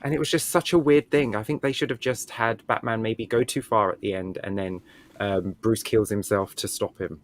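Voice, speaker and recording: A man with a fairly low voice talks as a panelist in a casual book club discussion. He is informal and blunt, laying out his opinions plainly. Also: steady tone, moderate pace.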